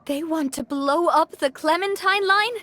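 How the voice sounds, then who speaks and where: A woman's voice: very high-pitched, a little slow, bright, forceful tone, heavily acted. An actress voicing a kind, responsible young woman who leads a close-knit band in a video game. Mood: worried, decisive. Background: faint music.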